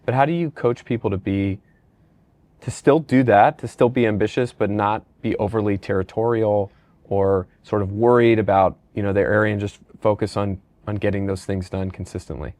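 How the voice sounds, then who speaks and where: A man's voice: fairly low pitch, normal speed, a little mellow, dry recording. A middle-aged man hosting a conversational podcast interview, casually asking questions and rambling through anecdotes. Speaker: podcast host, company leader.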